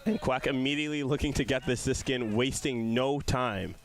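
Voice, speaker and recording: A man's voice: mid-range voice, moderate pace, soft background music. A young man provides live sports commentary. He has a mid-range voice and talks casually, explaining plays and chatting with his booth partner.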